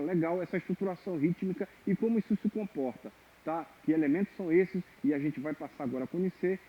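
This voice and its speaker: Husky man teaching percussion, tinny. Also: on the high side. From Maranhão, Brazil. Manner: explanatory, checks in with "tá?" and "ok?". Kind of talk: online music lesson. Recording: tinny phone-like audio.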